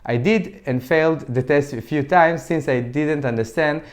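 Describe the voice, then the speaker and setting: A man's voice: fairly high pitch, normal speed. A man whose first language is Hebrew, reviewing a sports watch in a plain, methodical voice-over with occasional stumbles. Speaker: gear reviewer.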